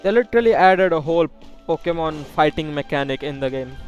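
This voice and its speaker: A man's voice: fairly high pitch, animated, fairly quick, lo-fi sound. A man casually commenting as he streams a game, chatting and muttering asides as he plays. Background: faint game music.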